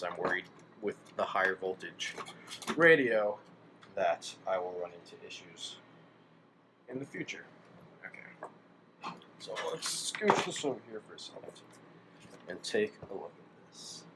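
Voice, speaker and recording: Male hobbyist, deep voice, unscripted demo at a workbench, musing and unsure, with noise behind him. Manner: tentative, musing aloud in fragments. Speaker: hobbyist demonstrating a radio-to-amp conversion. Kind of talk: casual thinking-aloud workbench narration. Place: indoor workbench. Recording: clearly noisy room recording.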